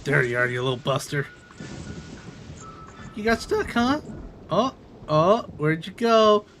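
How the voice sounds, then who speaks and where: A man doing casual live gameplay commentary in a playful, expressive way. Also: a little high, slow. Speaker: game streamer. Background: music.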